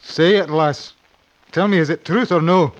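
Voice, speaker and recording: An actor playing a passionate, hot-headed young suitor in a melodramatic 1950s radio style. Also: male voice, fairly high pitch, highs cut off, lightly acted. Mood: challenging. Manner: melodramatic, halting, drawn-out delivery. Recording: vintage radio with faint noise.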